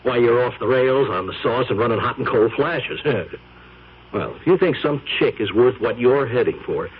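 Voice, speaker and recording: An actor playing a brash, wisecracking photographer in a 1970s radio drama, talking fast with slang. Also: male voice, medium pitch, lively intonation, slight background noise, lightly acted. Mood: mocking. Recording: dull old radio broadcast.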